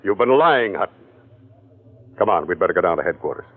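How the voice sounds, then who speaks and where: A man's voice: low-pitched, expressive, a little fast. An actor playing a stern male detective calling out a liar, in a 1940s radio drama. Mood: accusing. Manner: accusing, matter-of-fact. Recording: tinny old radio broadcast.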